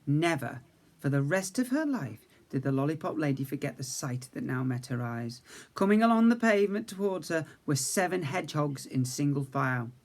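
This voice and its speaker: A male teacher reading a storybook aloud to young children, lively, voicing characters higher and dropping to quiet asides. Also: normal speed. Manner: lively reading, raises pitch for characters' speech; self-corrects slips mid-sentence.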